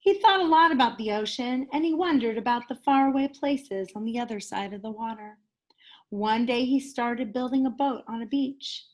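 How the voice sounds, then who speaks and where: A woman with a fairly high voice reads a picture book to children. Her delivery is enthusiastic and expressive, placing dramatic emphasis on key words in the manner of a teacher at story time. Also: moderate pace.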